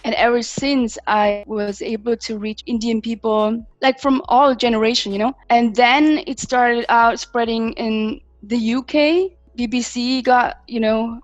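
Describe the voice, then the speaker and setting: A woman's voice: on the high side, fairly even intonation, unhurried, hardly any pauses, dry recording, muffled recording. A woman in her thirties from Switzerland, chatting reflectively as a singer being interviewed. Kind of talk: casual interview chat.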